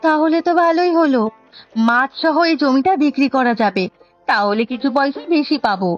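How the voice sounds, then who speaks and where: A woman voicing a money-minded, scolding elder sister-in-law in a Bangla cartoon, sharp-tongued. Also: sits high, moderate pace, muffled recording, soft background music, lightly acted. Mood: calculating.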